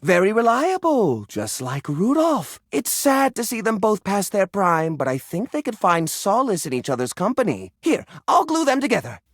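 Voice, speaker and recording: An actor playing a fussy, sentimental hoarder in a video game, easily flustered, talking in an earnest, rambling, expressive way. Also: male voice, sits high, moderate pace, few pauses, no room sound, studio quality, exaggerated voice. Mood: thoughtful, pleased. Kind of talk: video game character dialogue.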